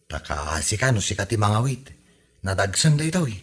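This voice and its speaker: A husky-voiced young village man with an Ilocano accent, played by a male actor. He is simple-minded and good-natured, speaking plainly and naively in a homemade comedy radio drama. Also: lowish voice, very animated, moderate pace. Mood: eager, friendly.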